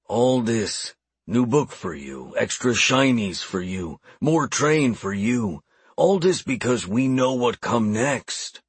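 A male narrator plays an old orc shaman from a fantasy audiobook, gruff and blunt, with a heavy heart. His grammar is primitive and clipped, and the voice is slightly unclear and recorded very dry. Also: mid-range voice, lively intonation, slow, no room sound, heavily acted. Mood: calm, sad. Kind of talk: audiobook character voice.

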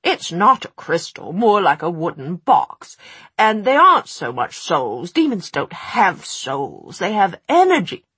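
A woman's voice: lowish voice, very animated, a little slow, a bit bright, slightly rough, dry recording, heavily acted. A woman voicing an earnest, bookish man who lectures eagerly. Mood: baffled.

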